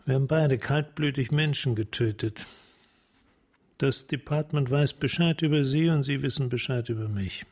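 A man playing a weary, guilt-ridden police sergeant in a radio drama, blunt, with a gravelly, husky voice. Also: mid-range voice, normal speed, natural voice. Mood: weary, resigned.

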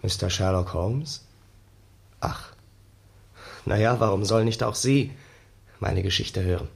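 An actor playing a haunted, bitter young ex-soldier, telling his story wearily and dramatically in a gravelly, husky voice for a 1960s German radio play. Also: on the low side, takes it slowly, exaggerated voice. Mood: weary.

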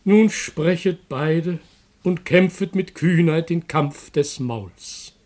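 An actor playing a pompous citizen in a comic Greek chorus, declaiming solemnly in a classic radio play with some noise on the recording. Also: male voice, on the high side, slow, a little mellow. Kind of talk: classic German radio play of an ancient comedy.

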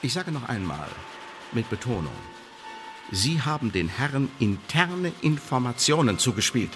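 Radio drama villain, played by a man: sardonic secret service interrogator, superior and precise, highly animated pitch, reverberant hall with background noise. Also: lightly acted. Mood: stern, sardonic. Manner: precise, formal, condescending, deliberate emphasis. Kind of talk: German radio drama acting.

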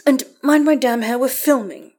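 A woman's voice: high voice, normal speed, a little mellow, voice pushed up. A woman voicing a vain, prickly man in a story, indignant, snappy and dramatic. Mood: irritated.

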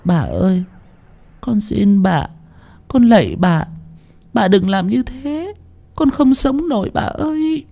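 A man's voice: high voice, very animated, takes it slowly, gravelly, long pauses, dark tone, pitched above the performer's own voice, heavily acted. A man playing a young servant girl who pleads while crying, in a told folk tale. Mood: tearful pleading.

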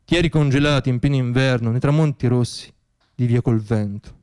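A man performing a theatrical radio monologue, telling stories in a wry, dramatic way with deliberate pauses. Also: medium pitch, darkish tone, clear, resonant tone.

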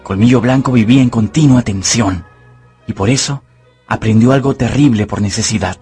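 Man, medium pitch, scripted dramatic narration, storyteller, music clearly behind. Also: normal speed, mellow.

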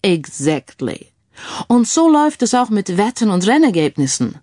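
A man's voice: high voice, big pitch swings, moderate pace, darkish tone, no room sound, pitched above the performer's own voice. Male detective for audio crime story, read by a woman: smug tech expert, analytical, English catchphrases, dry close sound. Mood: confident, explaining. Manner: peppers speech with English phrases.

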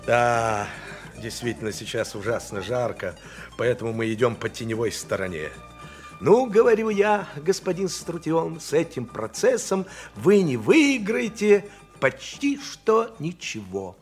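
A man's voice: mid-range voice, big pitch swings, unhurried, darkish tone, faint music behind. An actor playing a businesslike lawyer who recounts his doings with officious, emphatic theatricality in a radio play. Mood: narrating, brisk.

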